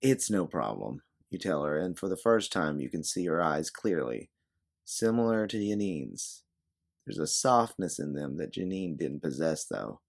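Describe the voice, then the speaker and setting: Deep-voiced man narrating fiction. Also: expressive, normal speed. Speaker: narrator and writer. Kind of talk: scripted fiction narration, second person.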